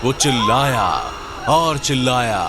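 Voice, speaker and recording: A man's voice: mid-range voice, slow pace. A man narrating a scripted story in a dramatic, suspenseful storytelling manner. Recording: loud music bed under narration.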